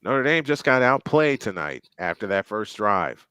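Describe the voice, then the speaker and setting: A man's voice: lowish voice, big pitch swings, a little slow, pushed and bright, clean tone, dry recording. A man doing live play-by-play commentary on a football game, chatty and thinking aloud between plays.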